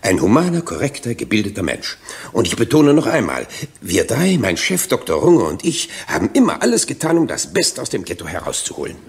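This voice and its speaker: Male as evasive sixtyish witness: lowish voice, swooping emphasis, servile self-justification, radio play. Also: huge pitch swings, brisk. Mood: self-righteous. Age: 60s. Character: self-righteous, evasive 61-year-old male sales agent testifying. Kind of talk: German radio drama acting.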